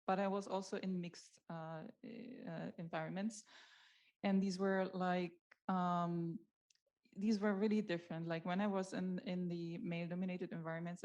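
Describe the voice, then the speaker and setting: A woman speaks on a panel in a low, very even voice that barely moves in pitch. She hesitates often and leans on fillers as she talks. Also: highs cut off. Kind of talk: panel discussion.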